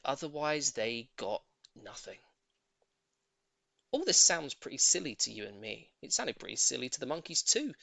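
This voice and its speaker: Male storyteller, lively swooping pitch, theatrical, emphatic, dry close sound, children's audiobook. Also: creaky, no echo. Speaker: audiobook narrator. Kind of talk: animated scripted children's story narration.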